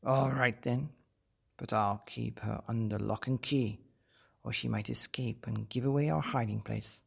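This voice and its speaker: Gravelly robber chief, read by a man. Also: medium pitch, fairly even intonation, a little slow, heavily acted. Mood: grudging. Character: rough robber chief, gruff and suspicious.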